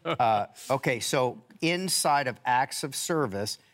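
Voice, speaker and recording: A man co-hosting a talk show interview, halting and searching for words as he asks questions. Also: medium pitch, lively intonation, slight background noise. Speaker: co-host.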